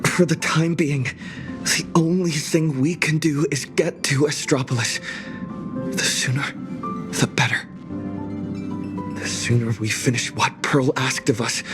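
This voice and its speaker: A man's voice: fairly high pitch, measured pace, pauses often, over music, lightly acted. An actor playing a practical, level-headed male adventurer in a video game, purposeful in delivery. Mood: focused. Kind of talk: video game story dialogue.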